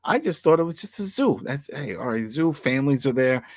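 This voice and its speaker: A man's voice: medium pitch, fairly quick, no echo, clean studio sound. A man co-hosting a recap show, talking casually and animatedly as he retells scenes and acts out lines. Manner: animated retelling with acted-out quotes. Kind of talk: casual chat recapping and reacting to a TV episode.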